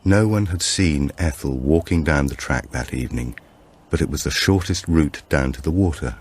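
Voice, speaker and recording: A man reading a supernatural thriller audiobook in a measured, suspenseful storytelling manner. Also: a bass voice, husky. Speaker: audiobook narrator. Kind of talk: scripted narration of a supernatural thriller.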